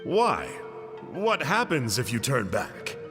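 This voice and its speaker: A man's voice: mid-range voice, huge pitch swings, slow, long reverb tail, over music. A man voicing an eager outsider in a video game, genteel and theatrical, full of exaggerated wonder. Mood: mock shocked.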